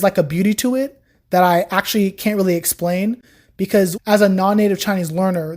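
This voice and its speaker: A young woman, a guest on a podcast, chatting casually about learning Chinese, telling her story with lots of "like". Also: medium pitch. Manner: chatty, animated storytelling with frequent "like". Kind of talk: casual interview chat.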